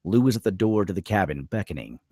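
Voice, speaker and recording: A man's voice: low-pitched, animated, fast talker, a little mellow, no echo, studio quality. A man reading stage directions as narrator at a screenplay table read.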